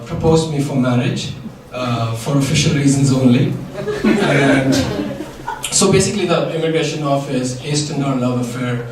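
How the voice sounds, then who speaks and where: A man's voice: medium pitch, noisy background. Indian man telling a wry story on stage. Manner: wry, dry punchlines with audience laughter. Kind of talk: live comedic storytelling. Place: live event venue. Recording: echoey hall, mic from far. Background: audience laughter.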